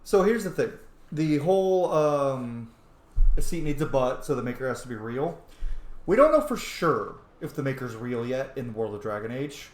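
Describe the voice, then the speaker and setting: A man's voice: medium pitch, expressive, moderate pace, echoey room. A man giving casual, informal commentary on a game he is playing, with explanatory asides and some swearing. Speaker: gamer commentating a playthrough.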